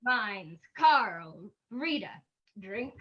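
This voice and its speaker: A woman's voice: medium pitch, sing-song, very slow, pitched below the performer's own voice. Woman playing a muffled, boastful old-time prospector man. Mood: flirty. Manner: folksy, chuckling, leering. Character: boastful, flirtatious male gold prospector in a tavern. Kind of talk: old-time radio acting. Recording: muffled, lo-fi microphone.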